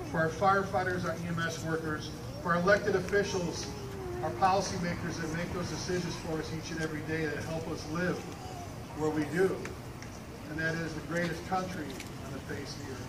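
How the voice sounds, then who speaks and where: Earnest man speaking through loud background noise. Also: fairly high pitch. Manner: earnest, emphatic, repeats phrases. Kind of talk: public ceremonial speech. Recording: roomy distant mic, loud ambient noise.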